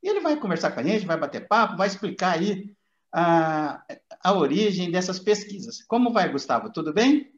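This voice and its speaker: A man's voice: sits high, sing-song, moderate pace. A man with a Brazilian accent hosting a casual, chatty interview. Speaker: interviewer, channel host. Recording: roomy, dull lo-fi audio.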